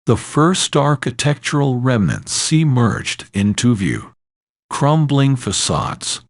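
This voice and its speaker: A man's voice: medium pitch, no room sound, studio quality. A man narrating a scripted horror story in an ominous, dramatic manner.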